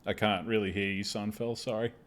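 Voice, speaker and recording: A man's voice: on the low side, flat delivery, a little fast. A man hosting a live stream, chatting casually with a group. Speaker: stream host.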